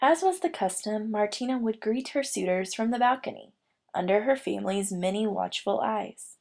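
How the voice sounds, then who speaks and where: A woman reading a children's picture book aloud as a storyteller, with expressive, deliberate phrasing. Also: medium pitch, creaky.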